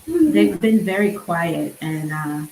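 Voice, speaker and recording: A woman's voice: fairly low pitch, sing-song, slow pace, gravelly, mellow. A woman casually hosting a livestream and interviewing, with hesitant, informal phrasing. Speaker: event host and interviewer. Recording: roomy echo, faint background noise.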